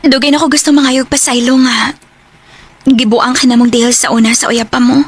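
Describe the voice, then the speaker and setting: A woman's voice: a little high, flat delivery, brisk, a little hiss or hum, natural voice. An actress playing a guilt-ridden young woman in a radio drama, speaking in a hesitant, contrite way. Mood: guilty, contrite. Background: music.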